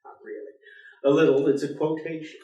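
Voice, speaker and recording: An actor playing a weary, stubborn intellectual professor in a staged play reading, speaking in a dry, precise and formal way. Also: male voice, on the high side, little pitch movement, brisk, warm tone, dull audio, lightly acted. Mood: wry, stubborn. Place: indoor theater stage. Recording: echoey theater, distant microphone.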